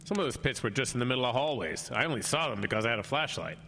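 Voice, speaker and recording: A man's voice: mid-range voice, big pitch swings, very fast, pushed and bright. An actor playing a grave, suspicious man in an audio drama, probing and serious. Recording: radio drama with storm ambience noise.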